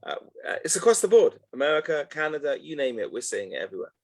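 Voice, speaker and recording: A man's voice: on the high side, sing-song, quick pace, gravelly. A man, answering interview questions in a reflective, loosely phrased way with frequent "okay" asides. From North London, England. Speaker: guest, educational tour organizer.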